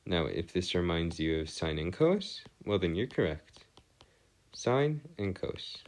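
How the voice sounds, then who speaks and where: Male tutor, deep register, lively melodic swings, bookish phrasing, hesitant stop-and-start explanatory delivery. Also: unhurried. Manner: formal, bookish wording. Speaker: math tutor. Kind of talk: improvised lesson explanation on trigonometry.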